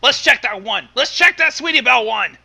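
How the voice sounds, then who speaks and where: A man's voice: very high voice, expressive, fairly quick, creaky, a crowd in the background. A man chatting casually and reacting as a co-reader during a group story reading. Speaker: co-reader making comments.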